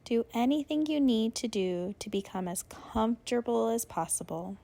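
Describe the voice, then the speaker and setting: A woman leading a guided yoga nidra relaxation, giving soothing, unhurried instructions with long pauses. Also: a little high, expressive, gravelly, warm tone.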